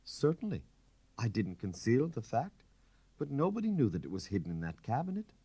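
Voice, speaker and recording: A man's voice: mid-range voice, sing-song, moderate pace, warm tone, slightly rough, pitched above the performer's own voice. A man voicing a poised, candid aristocratic countess in a storybook reading, with a refined and emphatic delivery. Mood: assured.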